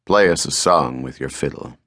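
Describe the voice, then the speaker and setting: A man's voice: extremely low pitch, normal speed, a bit bright, no echo, clean studio sound, voice pushed down, heavily acted. A man voicing a commanding, desperate whaling ship captain in an audiobook, speaking in terse orders. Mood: brisk command.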